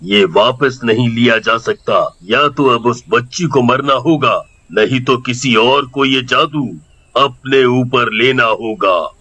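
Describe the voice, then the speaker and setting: A grave village holy man from an animated horror tale, voiced by a man. He speaks slowly at a medium pitch with a forceful edge, solemn and fatherly. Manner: solemn, deliberate. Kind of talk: dramatic animated story dialogue.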